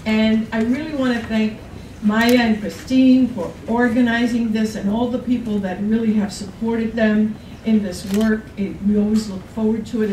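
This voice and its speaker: A woman's voice: mid-range voice, warm tone. A middle-aged woman, a college provost, giving an informal welcome speech. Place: college classroom at a podium. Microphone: distant camera. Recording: echoey room, distant mic, clear noise.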